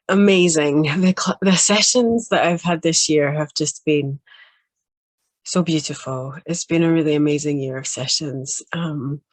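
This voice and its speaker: A woman speaking as a healing practitioner in a casual, grateful chat with her viewers, still a little under the weather, with frequent soft laughs and pauses. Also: deep voice, dry recording. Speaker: quantum healing hypnosis practitioner.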